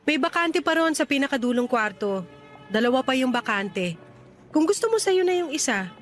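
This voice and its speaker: Radio drama daughter: grown, dutiful, stifled, high-pitched. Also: female voice, sing-song, moderate pace, soft background music. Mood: matter-of-fact. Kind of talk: Tagalog radio drama acting.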